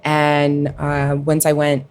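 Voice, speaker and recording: A woman's voice: low-pitched, completely flat delivery, slow pace, a little mellow. A woman in her twenties, raised in Colombia and New Jersey with Spanish as her first language, chatting informally as a podcast guest. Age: 20s. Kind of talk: casual chat, personal storytelling.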